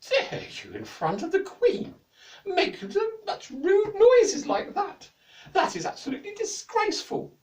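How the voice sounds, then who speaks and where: A man voicing a haughty, easily offended queen in a told fairy tale, with a shrill, commanding manner. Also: huge pitch swings, moderate pace, gravelly, exaggerated voice. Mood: outraged. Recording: roomy, distant mic.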